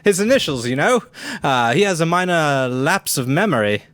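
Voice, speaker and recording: A man playing a bluff, excitable upper-class British gentleman with theatrical exclamations in an amateur radio play. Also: fairly high pitch, normal speed, smooth, dry recording. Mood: startled, blustering. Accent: put-on British. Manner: theatrical, old-fashioned exclamations.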